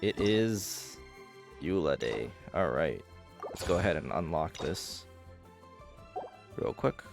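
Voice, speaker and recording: A man's voice: a bass voice, sing-song, slow, gravelly, music in the background. A man talking casually as he streams a video game, commenting live on what he is doing.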